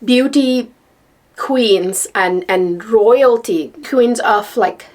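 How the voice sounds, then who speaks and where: Middle-aged woman from Sri Lanka, rambling vlog chat, excitable, fairly high voice. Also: drawn-out words. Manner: excitable, rambling, repeats words. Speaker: vlogger. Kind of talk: casual chat to camera.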